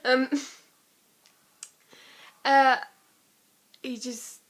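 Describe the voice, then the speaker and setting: A woman's voice: high-pitched, little pitch movement, very slow. A young woman chatting casually and ramblingly to camera as an amateur vlogger, with a giggly, self-deprecating, informal manner.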